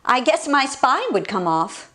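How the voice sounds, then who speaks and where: An old gentleman book from a children's story, played by a woman reader, commanding yet weary. He declaims slowly and with authority, in a fairly high voice. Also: very animated, full character voice. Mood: commanding, regretful.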